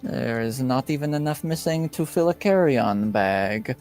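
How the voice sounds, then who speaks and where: A man's voice: medium pitch, lively intonation, a little slow, a little mellow, faint music behind. A man playing a suspicious noble father, formal, cold and accusing, in a tabletop roleplaying game.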